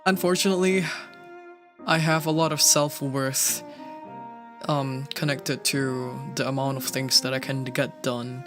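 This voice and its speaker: Slow, halting streamer chatting casually. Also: medium pitch, fairly even intonation. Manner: halting, many restarts and fillers. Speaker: streamer and cover singer. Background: faint background music.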